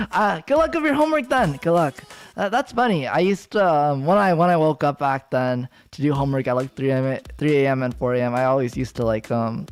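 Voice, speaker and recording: A man's voice: a little high, fairly quick, smooth, faint music behind. Giggly young guy rambling on stream. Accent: American. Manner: rambling, giggly, lots of fillers and restarts. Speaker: VTuber streamer. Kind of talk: casual livestream chat with viewers.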